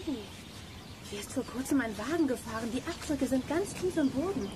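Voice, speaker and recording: A woman's voice: high-pitched, animated, brisk, background noise. An actress playing a level-headed girl detective in a children's radio play. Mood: focused.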